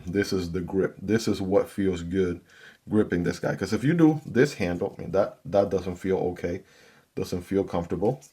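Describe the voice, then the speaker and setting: A man's voice: deep voice, darkish tone, husky. A man hosting his own gear channel, chatting casually to viewers as he unboxes and reviews a knife. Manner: chatty, talks straight to viewers.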